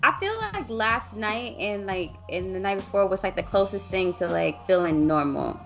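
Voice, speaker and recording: A woman's voice: mid-range voice, moderate pace, gravelly, soft background music. Blunt young woman, swearing co-host. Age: young adult. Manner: blunt, swears freely, slangy. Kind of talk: casual podcast chat.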